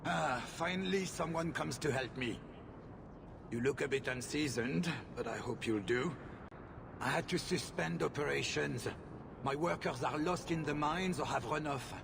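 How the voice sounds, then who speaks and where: An actor playing an anxious, haughty middle-aged mine owner in a video game, fretful and dismissive, with a gravelly voice. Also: male voice, on the high side, a little slow, noisy background. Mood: impatient, haughty.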